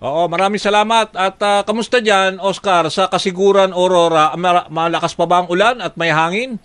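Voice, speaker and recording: A man's voice: sits high, fairly quick, fairly bright tone, clear, resonant tone, no echo. A man with a Filipino accent anchoring live radio news coverage, interviewing in a conversational, hesitant way with frequent fillers. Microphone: close studio microphone.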